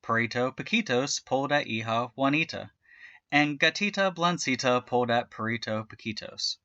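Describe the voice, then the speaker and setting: A man reading a children's story aloud in an animated way, stretching out key words for emphasis. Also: medium pitch, moderate pace, dry recording, highs cut off. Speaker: storybook reader.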